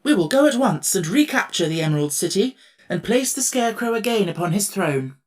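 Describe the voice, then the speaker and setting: A man's voice: high-pitched, very animated, brisk, voice pushed up. A man reading an audiobook, voicing a courtly and dignified tin emperor with formal, gracious speech. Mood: alarmed. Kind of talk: audiobook character voice.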